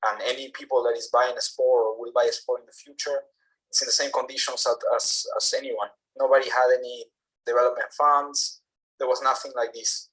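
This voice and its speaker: Soft-spoken man from Peru, explaining. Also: medium pitch, little pitch movement, rough recording. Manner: measured, slightly halting, explanatory. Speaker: guest, project founder. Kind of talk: casual interview. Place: home in France, late at night.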